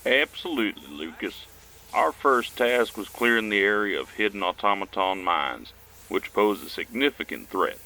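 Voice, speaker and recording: A man's voice: fairly low pitch, bright, forceful tone, slight background noise, poor audio. A man playing a confident military engineer explaining defence work in a sci-fi radio drama. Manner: measured technical explaining.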